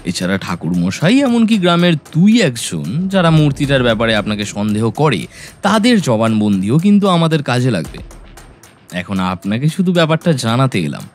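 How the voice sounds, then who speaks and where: A man's voice: mid-range voice, big pitch swings, moderate pace, natural voice. A man playing a shrewd, confident detective in an audio story, laying out accusations in a probing, methodical way. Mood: firm, confident. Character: shrewd, confident male detective confronting a suspect. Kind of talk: Bengali audio story acting. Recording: music bed under voice.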